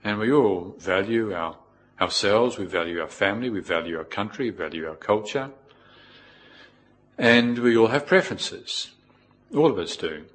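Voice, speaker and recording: Low-pitched New Zealand man, reflective. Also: lively intonation, a bit bright. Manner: reflective, measured with pauses. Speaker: Buddhist monk, teacher. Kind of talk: informal spoken dharma talk with personal anecdotes.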